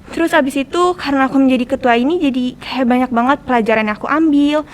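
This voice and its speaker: Quick-talking, high-voiced teenage girl, Jakarta accent. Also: slight background noise. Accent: Jakarta Indonesian. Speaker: podcast guest, student organization leader. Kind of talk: casual conversational explanation.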